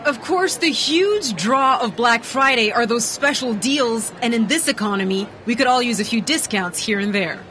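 A young woman reporter narrating a local TV news piece in a clear, even delivery. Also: on the high side, moderate pace, hardly any pauses.

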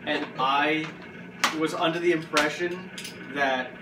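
A man hosts a casual cooking segment in a home kitchen, joking and rambling as he goes. His voice sits a little high, caught by a far-off camera in an echoey room with a noisy background. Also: fairly even intonation, takes it slowly. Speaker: host. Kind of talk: casual cooking show banter. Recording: roomy, distant, noisy kitchen audio.

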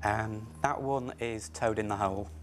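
A man's voice: lowish voice, steady tone, moderate pace, faint music behind. A man in his thirties, a quiz contestant, thinking aloud hesitantly over his answers in a studio. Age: 30s.

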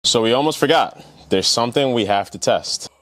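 A man's voice: mid-range voice, expressive, brisk, fairly bright tone, poor audio. A man hosting a casual, hands-on car tutorial vlog in an enthusiastic, informal way.

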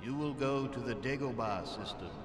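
A man's voice: mid-range voice, lightly acted. A man playing a solemn, ghostly old mentor in a game cutscene, with a grave manner. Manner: slow, grave. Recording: long echo, music behind.

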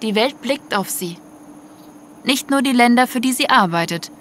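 A woman's voice: on the high side, animated, moderate pace, a bit bright, slight background noise. A woman narrating a scripted audio story in prose. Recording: music under the voice.

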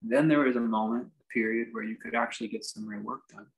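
A man teaching a guided meditation, speaking in measured, halting phrases with reflective pauses. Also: lowish voice, fairly even intonation, low-quality recording. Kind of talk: guided meditation, unscripted instruction.

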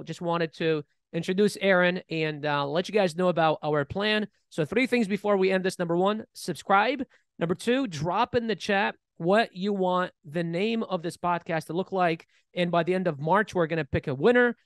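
Gravelly-voiced man in his thirties. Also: high voice, no room sound. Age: 30s. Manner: animated, hand-gesturing, many restarts. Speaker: podcast co-host. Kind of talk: casual podcast chat. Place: bright studio room, seated in armchair. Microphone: boom-mounted studio mic.

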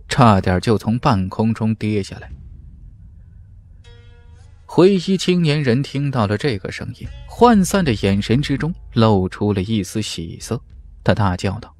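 A man's voice: mid-range voice, darkish tone, no echo. A man reading scripted fantasy narration dramatically as an audiobook narrator. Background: background music.

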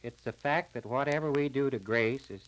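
A man's voice: mid-range voice, natural voice. Actor as folksy small-town radio man. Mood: thoughtful. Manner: folksy, deliberate. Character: thoughtful plain-spoken small-town man, fair-minded. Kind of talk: 1940s radio serial acting. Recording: crackly 1940s radio transcription disc.

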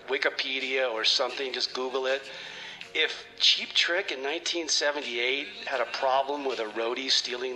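A man's voice: mid-range voice, sharp tone, poor audio. A man playing an anxious time traveler in trouble, pleading sheepishly in comedy radio theater. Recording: music under the voice.

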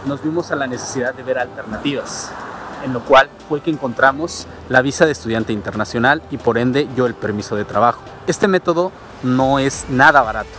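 A man talks to a handheld camera held at arm's length. He walks outdoors and explains things in a steady way. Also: mid-range voice, fairly quick, a little hiss or hum. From Mexico. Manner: steady, explanatory, talking while walking. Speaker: vlogger. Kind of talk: walking vlog explaining immigration. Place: outdoors on suburban streets and a transit station. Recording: music playing underneath. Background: music.

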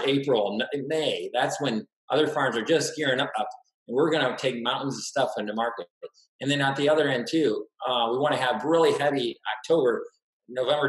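A middle-aged man walks through his slides on a video call with a mid-pitched, practical delivery. He restarts words and leans on "uh" fillers. The webcam audio has a noticeable room echo. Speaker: farmer giving a webinar talk. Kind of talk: slide presentation lecture. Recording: roomy echoey webcam audio.

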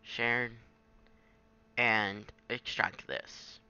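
A man giving a casual, improvised software tutorial in a halting, self-deprecating way on a home microphone. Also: on the low side, fairly even intonation, clean tone, muffled recording. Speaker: tutorial maker. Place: at a home computer, screen recording.

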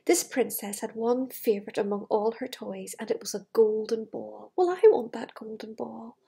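A middle-aged woman with a breathy voice, reading a fairytale to children with gushing, chatty asides in a living room. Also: on the high side, moderate pace, dark tone. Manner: gushing, wistful enthusiasm for the book. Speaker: children's storyteller. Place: living room with large glass doors. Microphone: device camera at arm's length.

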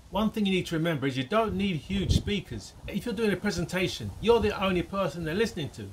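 A man's voice: on the high side, slightly rough. A man presenting as a channel host, reading a scripted product-review narration. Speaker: host of an audio gear channel.

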